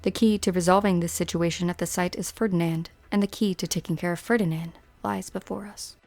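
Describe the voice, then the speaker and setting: A woman's voice: lowish voice, steady tone, brisk, darkish tone, dry recording, soft background music, natural voice. A woman playing a stern, terse, resolute figure in an amateur game fan dub.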